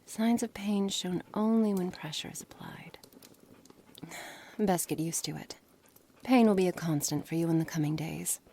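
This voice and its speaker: A low, menacing witch acted by a woman speaks in a deliberate, formal ASMR monologue. She is cold, curious and condescending toward her captive, often sinking to a breathy near-whisper. Faint ambient noise sits behind the voice. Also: dark tone, close to an everyday voice. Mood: clinical fascination. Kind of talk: ASMR roleplay, scripted dramatic monologue. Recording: noisy background ambience.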